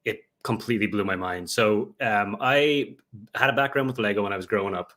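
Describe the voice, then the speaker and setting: A man's voice: on the low side, fairly quick, a bit bright. A young Irish man answering interview questions at length, rambling with many fillers and restarts. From Ireland. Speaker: guest, Lego investor.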